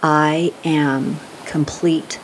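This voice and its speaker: Slow, low-voiced woman guiding meditation. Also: flat delivery, a little hiss or hum, low-quality recording. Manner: soothing, unhurried, gentle instruction with long pauses. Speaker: meditation guide. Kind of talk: scripted guided meditation.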